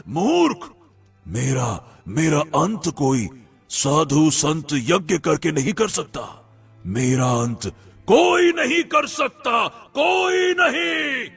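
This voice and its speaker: A man voicing a cruel, boastful demon in an animated moral story, taunting with drawn-out, emphatic menace. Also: a little high, creaky, slightly rough, heavily acted. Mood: mocking rage.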